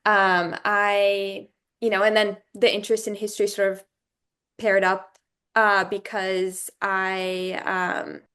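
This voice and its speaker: Thoughtful young woman from Brazil, smooth voice. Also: medium pitch, flat delivery, slow, fairly bright tone. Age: young adult. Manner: thoughtful, many fillers like "um" and "you know". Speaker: alumna guest. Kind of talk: casual interview conversation.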